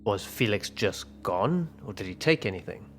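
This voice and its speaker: A man's voice: on the low side, expressive, moderate pace, soft background music. A man playing a tabletop game, asking questions in casual speech.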